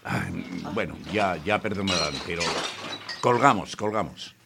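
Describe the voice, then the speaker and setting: A man's voice: sits low, a little slow, background noise. A man playing a polite, patient but harried radio host in a comedy play, hesitant and often interjecting. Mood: flustered. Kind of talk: comic radio theatre acting.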